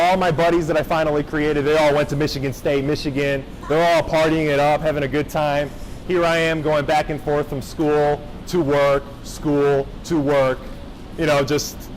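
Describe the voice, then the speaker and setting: Young man, motivational speaker at a school, casual and engaging, slightly high voice, faint noise behind. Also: fairly even intonation, moderate pace, rough recording. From Japan. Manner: casual, engaging, checks in with the audience. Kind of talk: motivational talk to students. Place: high school gathering space.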